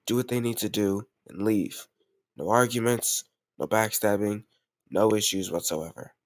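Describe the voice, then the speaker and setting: A man's voice: lowish voice, no room sound, close to an everyday voice. A man playing a doting but ruthless mafia boss in an intimate audio roleplay, commanding yet affectionate, using gangster slang.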